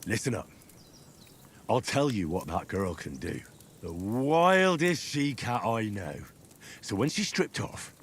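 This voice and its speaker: An actor playing an earnest, plain-spoken young smith's son turned soldier in a medieval game. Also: male voice, lowish voice, sing-song, slow, pauses often, a little hiss or hum, heavily acted. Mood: cheeky. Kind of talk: video game cutscene acting.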